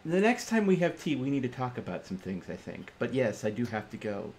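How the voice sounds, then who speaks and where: A man's voice: mid-range voice, very animated, fairly quick, a little mellow, voice pushed down, natural voice. A man voicing a charming, placating prankster with an apologetic, hesitant manner in a tabletop game. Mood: guarded politeness. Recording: noisy game stream.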